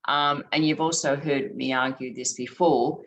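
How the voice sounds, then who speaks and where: A woman giving an academic conference talk over a video call, her voice scratchy late at night, speaking in a measured way with frequent ums and restarts. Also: very deep voice, fairly even intonation. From Australia. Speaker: conference presenter.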